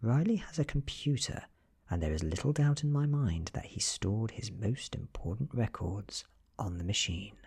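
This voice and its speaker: A man with a British accent giving a soft-spoken, near-whispered ASMR reading of a horror story, close to the microphone. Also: lowish voice, animated, normal speed, creaky, breathy edge. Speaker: narrator.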